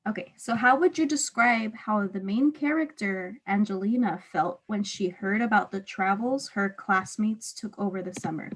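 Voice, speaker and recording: A woman teacher with a husky voice reading aloud and patiently putting simple guiding questions to a student. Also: medium pitch, normal speed, hardly any pauses, a bit bright, slight background noise, low-quality recording. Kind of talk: read-aloud and one-on-one comprehension questions.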